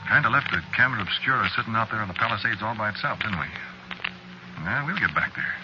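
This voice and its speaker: On a dull, noisy old radio recording, a man acts out a shifty American store clerk confiding a dark tale. His voice sits low and swings widely in pitch. He talks in slangy, folksy, offhand 1940s suspense style, with the cool air of a killer. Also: animated, fast talker, lightly acted. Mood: chillingly offhand. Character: shifty working-class store clerk, coolly confessing killer. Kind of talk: 1940s radio suspense acting, confiding first-person narration.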